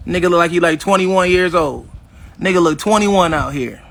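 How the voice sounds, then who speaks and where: A man's voice: high voice, normal speed, creaky, a bit bright. A man in his fifties talking casually to his viewers, boasting in a swaggering way and acting out old conversations. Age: 50s. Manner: swaggering, addresses viewers directly as y'all; acts out past conversations in quotes. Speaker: vlogger. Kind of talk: casual boastful livestream talk.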